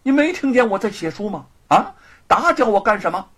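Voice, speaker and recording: Man as a haughty, husky condemned scholar. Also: mid-range voice, huge pitch swings, pitched below the performer's own voice, heavily acted. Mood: irritated. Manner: haughty, emphatic, deliberate. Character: proud, defiant male condemned scholar, absorbed in writing.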